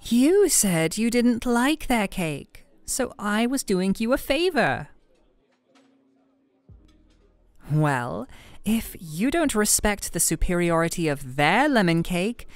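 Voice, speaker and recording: A woman's voice: mid-range voice, no room sound. A woman playing a playful, affectionate young best friend in an ASMR roleplay, chatting casually and teasing her listener. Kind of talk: ASMR roleplay audio, conversational acting. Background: soft background music.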